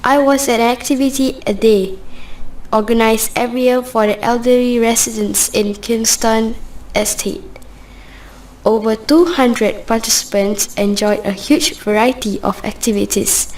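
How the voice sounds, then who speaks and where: A woman with a somewhat high voice reads a prepared passage aloud. She delivers it as a student candidate in an oral exam. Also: steady tone, a little slow, a little mellow, poor audio.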